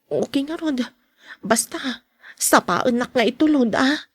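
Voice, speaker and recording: High, husky, anxious young wife, acted by a woman. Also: expressive, unhurried, dry recording, heavily acted. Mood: uneasy. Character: guilt-ridden young wife and mother, meek, anxious. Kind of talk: podcast radio drama acting.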